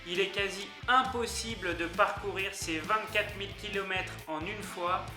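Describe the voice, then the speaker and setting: A man's voice: sits high, fairly even intonation, moderate pace. A young man narrating a travel list video in an upbeat way, speaking directly to his viewers. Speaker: YouTuber. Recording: echoey room with music underneath.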